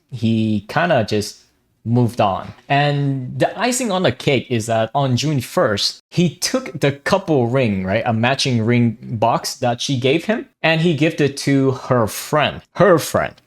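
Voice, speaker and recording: A young man from Vietnam, a native Vietnamese speaker, giving blunt, casual commentary on online drama, swearing freely and often restarting his sentences. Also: mid-range voice. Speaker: gaming commentary YouTuber.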